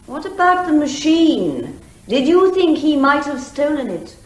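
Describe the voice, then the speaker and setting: A woman's voice: high voice, very animated, measured pace. A woman playing an insistent barrister in a courtroom comedy drama, putting pointed, formal questions. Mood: brisk, formal. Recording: roomy, echoing sound.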